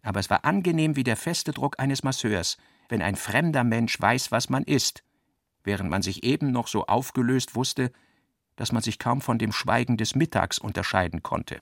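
An actor playing the reflective narrator of a modernist novel in a radio play, with measured, deliberate phrasing. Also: male voice, medium pitch, expressive, gravelly, dry recording, studio quality. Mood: measured, descriptive.

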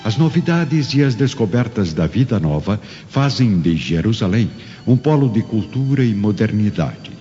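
Deep, solemn male narrator in long reverb. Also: big pitch swings, normal speed, few pauses, warm tone. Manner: solemn, theatrical. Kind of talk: scripted dramatic narration of a radio drama. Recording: long reverb, dull audio, music behind.

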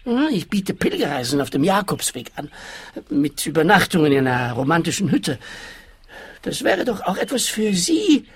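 Whiny small-time boss, radio drama, raspy voice going up and down, wheedling. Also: male voice, fairly high pitch, wildly swooping pitch, normal speed, full character voice. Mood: uneasy, self-important. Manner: whining, wheedling. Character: whiny, evasive male small-time pilgrimage entrepreneur. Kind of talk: radio play acting.

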